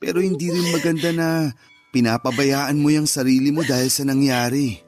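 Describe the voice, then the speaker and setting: A man playing a gentle, protective grieving husband in a Filipino radio drama, with a soothing, earnest manner. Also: mid-range voice, steady tone, normal speed, faint music behind, close to an everyday voice. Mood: pleading concern.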